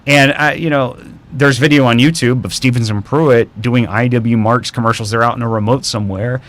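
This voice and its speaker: Man, interview guest, radio historian, casual conversational talk, average pitch. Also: steady tone, moderate pace, fairly bright tone.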